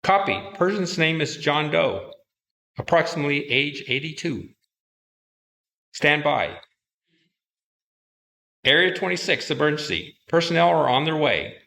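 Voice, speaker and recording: A man's voice: a little high, lively intonation, measured pace, vocal fry, pauses often, sharp tone. A man giving formal, procedural radio announcements as a dispatcher in a scripted drill.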